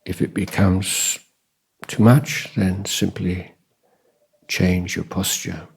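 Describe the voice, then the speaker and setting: A man with a deep, very husky voice guides a meditation. He speaks slowly in an even, level tone. His phrases are short and measured, with long calm pauses between them. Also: poor audio. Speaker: Buddhist monk, meditation teacher. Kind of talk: guided meditation dharma talk.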